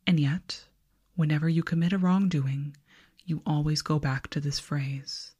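Give the voice of a calm alien girl researcher, performed by a woman for an ASMR roleplay. She whispers and murmurs low and dark, with breathy softness. Her phrasing is slow, formal and soothing, with a detached, faintly condescending politeness. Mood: musing, condescending. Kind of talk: ASMR roleplay, hypnotic whispering and soft speech.

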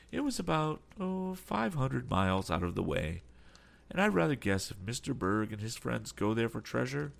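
A man voicing a calm, polite older sea captain who keeps adding apologetic asides. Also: medium pitch, creaky, voice pushed up, heavily acted.

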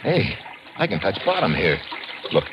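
Low-voiced tough American adventurer. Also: male voice, huge pitch swings, muffled recording. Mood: firm, reassuring. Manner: brisk, slangy, take-charge. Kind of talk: old-time radio drama acting. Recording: noisy old radio recording.

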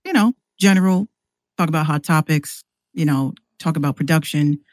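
A woman's voice: deep voice, moderate pace, dry recording. Candid American woman, close dry sound. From New York City, US. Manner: animated, candid, slangy, acts out quoted exchanges. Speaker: podcast guest, rapper and radio show host. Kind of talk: casual conversational storytelling.

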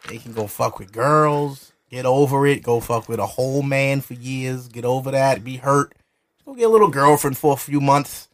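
A man's voice: medium pitch, moderate pace. A man hosting a podcast in a studio. He chats loosely and profanely while drinking, brash and provocative, and laughs often. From Brooklyn, New York. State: drinking alcohol while recording. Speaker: podcast host. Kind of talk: loose, profane casual chat.

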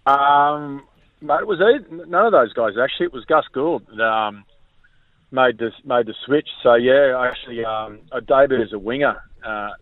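Relaxed Australian man on a tinny phone line. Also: mid-range voice, moderate pace, no echo. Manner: relaxed, rambling, full of ums. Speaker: guest, former rugby league player. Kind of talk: casual interview.

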